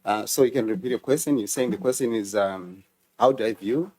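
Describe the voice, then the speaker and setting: A man's voice: lowish voice, darkish tone. A man from Zimbabwe answers a parliamentary committee as a university official. He speaks formally and hesitates often, restarting his phrases. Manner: hesitant, halting with fillers. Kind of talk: formal testimony.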